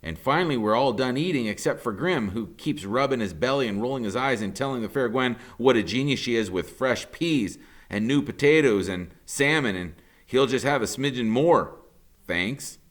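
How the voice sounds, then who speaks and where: A man, a teacher, reading a novel aloud in the first person with an expressive, conversational delivery. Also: mid-range voice, normal speed. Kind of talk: audiobook narration in first person.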